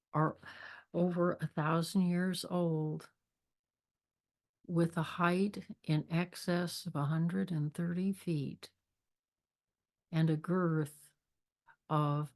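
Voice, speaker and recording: A woman leading a guided meditation with spiritual readings, speaking in a measured, deliberate way with long pauses. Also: on the low side, level tone, a little mellow. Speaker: meditation leader, spiritual minister.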